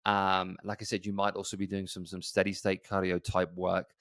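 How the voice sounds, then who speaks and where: Relaxed man in his forties, low dry voice. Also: moderate pace, no echo. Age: 40s. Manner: rambling, many ums and restarts, conversational. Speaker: gym owner and podcast host. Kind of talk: casual solo podcast talk.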